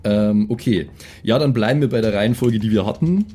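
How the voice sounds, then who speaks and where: A man's voice: fairly low pitch, brisk, dark tone, slightly rough. A man chatting in an easygoing, colloquial way while playing a tabletop game. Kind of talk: casual game-table chat. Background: background music.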